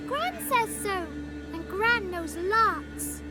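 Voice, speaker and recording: A woman's voice: extremely high pitch, big pitch swings, drawn-out words, loud music behind the voice, full character voice. A woman voicing a cheeky, bossy little village child in a fantasy video game, with blunt rustic childish speech. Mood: matter-of-fact. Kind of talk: video game character acting.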